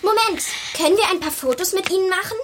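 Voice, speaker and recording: An actress playing an excitable, stubborn young schoolgirl in a German children's radio play. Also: very high voice, fast, a little hiss or hum. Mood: thrilled, excited. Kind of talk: German children's radio play acting with first-person narration.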